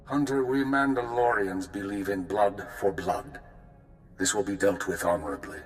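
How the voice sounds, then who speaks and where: A man's voice: on the low side, moderate pace, soft background music, close to an everyday voice. A man voicing a stern, honour-bound old warrior in a video game, solemn and formal in his speech.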